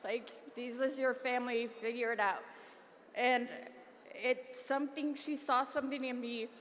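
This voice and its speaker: Woman, fairly high voice, casual and chatty, acceptance speech, long reverb of a banquet hall. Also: fairly even intonation, unhurried, fairly bright tone. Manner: casual, self-deprecating, chatty. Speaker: award recipient, school community liaison.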